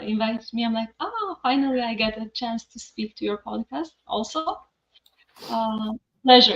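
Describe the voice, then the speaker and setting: A woman's voice: on the high side, fairly bright tone, lo-fi sound. A middle-aged woman from Albania with an Albanian accent, talking casually as an interview guest. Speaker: podcast guest, accountant.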